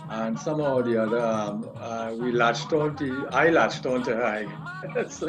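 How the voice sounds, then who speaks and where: An older man with a Trinidadian accent, telling his life story in an interview, hesitant and halting. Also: medium pitch, over music. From Trinidad and Tobago.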